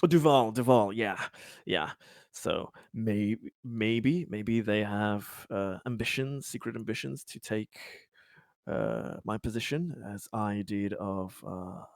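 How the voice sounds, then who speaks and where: A man improvising as a player in a tabletop roleplaying game, halting and hesitant, often stammering over repeated words. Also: lowish voice, creaky, no echo, clean studio sound.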